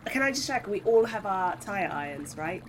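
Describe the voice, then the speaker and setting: A woman's voice: medium pitch, lively intonation, normal speed, a little hiss or hum, poor audio. A woman playing a tabletop role-play game, narrating and speaking for her own character.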